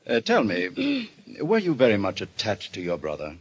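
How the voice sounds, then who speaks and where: A measured psychiatrist in a vintage radio thriller. His voice is low and husky, with very varied intonation as he hesitantly probes and reassures. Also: wildly swooping pitch, lo-fi sound, full character voice. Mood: calm inquiry. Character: measured, cautious male psychiatrist. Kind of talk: 1940s radio thriller acting.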